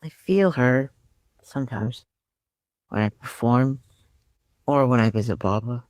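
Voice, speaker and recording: A woman's voice: a bass voice, measured pace, a little mellow, dry recording. A woman voicing a caring, reflective woman in an audiobook drama, thoughtful and tender. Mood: nostalgic.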